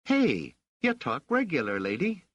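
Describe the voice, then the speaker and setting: A man acts out a cheeky boy of about twelve from the streets. The voice sits well above an adult male pitch and jumps about freely. The talk is brash, slangy and ungrammatical, as in a storybook reading. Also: wildly swooping pitch, measured pace, a bit bright, no echo, highs cut off, heavily acted. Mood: amazed. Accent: fictional working-class street dialect.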